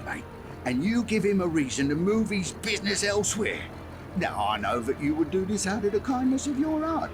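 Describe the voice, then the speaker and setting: Shady british cartoon rat crook, buttering you up, highish and swoopy, music playing. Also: male voice. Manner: chummy, flattering, sly. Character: oily, wheeling-dealing male rat gangster. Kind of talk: video game cutscene acting.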